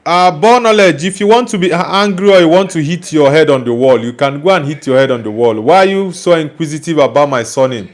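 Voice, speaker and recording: A man's voice: fairly high pitch, expressive, fairly quick, hardly any pauses. A young man from Nigeria hosting a live stream, casually reading viewer comments aloud and answering them bluntly. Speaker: livestream host reading chat.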